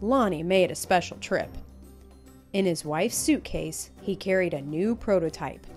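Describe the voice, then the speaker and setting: A woman reading a children's picture book aloud in an expressive, emphatic storytelling manner. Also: lowish voice, a little slow, soft background music.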